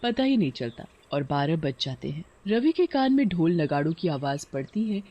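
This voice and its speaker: A storyteller narrates a scripted horror tale dramatically. The voice is husky and lively, rising and falling often. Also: medium pitch, normal speed, muffled recording.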